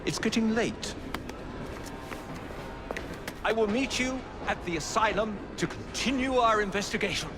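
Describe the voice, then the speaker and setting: An actor playing an elderly, dignified Victorian naturalist with a British accent, speaking formally and quick to take offence. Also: male voice, high-pitched, expressive, background noise. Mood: measured, cautioning. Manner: formal, genteel, articulate. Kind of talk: video game voice acting.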